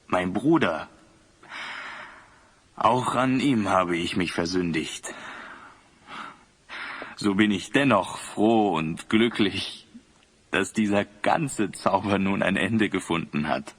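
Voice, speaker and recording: A man's voice: low voice, slow, pauses often, slightly rough, heavily acted. An actor playing a remorseful ruler turned old man, confessing solemnly in formal words in a fantasy audio play. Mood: remorseful, relieved.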